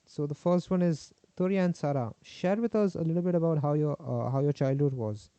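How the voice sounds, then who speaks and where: Podcast host, male, hesitant and filler-heavy, very mellow tone, dull lo-fi mic. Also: fairly high pitch, a little fast, clean tone, no echo. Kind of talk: casual interview. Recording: dull lo-fi sound.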